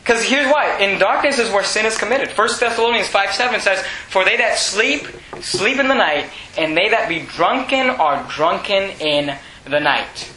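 A man in his twenties preaching an impassioned sermon with scripture readings, speaking in an emphatic, repetitive way that often pushes toward shouting. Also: high voice, expressive, normal speed. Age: 20s. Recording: echoey room with faint noise.

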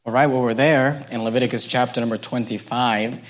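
A man in his thirties preaching an evening sermon at a church service, halting often with fillers and repeated words. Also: medium pitch, steady tone. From United States. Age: 30s.

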